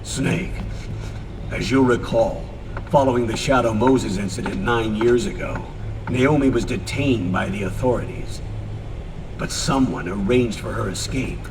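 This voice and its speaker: A man's voice: medium pitch, natural voice. Game role: male actor as older commander, formal and sober, long reverb, off-mic, noisy. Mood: sober, briefing. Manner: formal, measured. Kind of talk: video game cutscene acting. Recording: distant, reverberant, noisy transmission.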